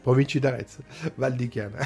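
A male interview host with a gravelly, mid-pitched voice. He talks in a friendly, chatty way, often hesitating. Faint music plays behind him. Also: normal speed. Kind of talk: radio interview chat.

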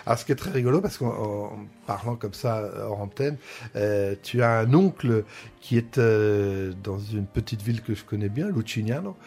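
A man's voice: lowish voice, expressive, moderate pace, a little mellow, slightly rough. A man hosting an interview, chatty and friendly, with many fillers and hesitations. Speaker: host. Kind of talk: radio interview chat.

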